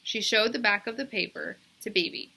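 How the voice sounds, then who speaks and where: A woman's voice: mid-range voice, normal speed, creaky, pushed and bright, slightly rough, poor audio. A woman reading a storybook aloud, narrating expressively for children. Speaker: storybook reader.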